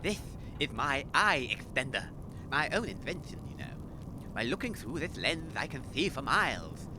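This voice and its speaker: An actor playing an eccentric, gallant inventor in an audio comedy drama, grave and theatrical, declaiming dramatically. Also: male voice, mid-range voice, sing-song, moderate pace, noisy background, lightly acted. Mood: grave, urgent.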